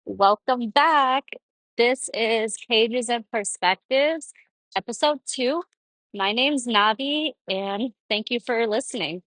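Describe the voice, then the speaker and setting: A woman's voice: on the high side, takes it slowly, fairly bright tone, no echo, rough recording. Chatty young woman podcaster. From Florida, United States. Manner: rambling and conversational, many fillers. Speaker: podcast host. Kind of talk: casual personal monologue.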